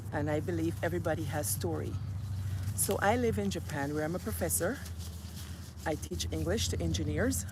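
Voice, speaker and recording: Gravelly-voiced middle-aged Guyanese woman vlogging. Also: sits low, noisy background. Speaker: vlogger and host. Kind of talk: casual vlog chat. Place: outdoors near home in a village.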